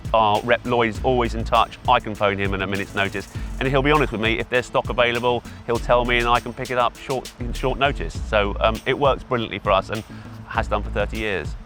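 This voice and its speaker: A man's voice: mid-range voice, a bit bright. A middle-aged man giving informal, explanatory answers in an interview about his trade. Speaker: event PA hire company representative, interviewee.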